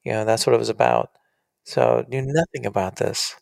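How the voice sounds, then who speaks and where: A man's voice: mid-range voice, fairly even intonation, normal speed, gravelly, a little mellow, dry recording. A man, an author as guest, talking earnestly and animatedly in a casual interview.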